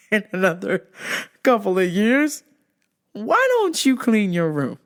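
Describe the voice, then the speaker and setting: Hoarse, brash woman podcaster. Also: sits low. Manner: brash, profane, joking, exclamatory. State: hoarse, voice worn out. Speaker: podcast host. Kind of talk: solo podcast monologue. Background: background music.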